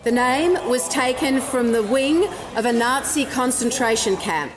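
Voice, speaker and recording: A woman reads a scripted news report as a reporter. Her voice is fairly high, and music is clearly heard behind her. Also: unhurried.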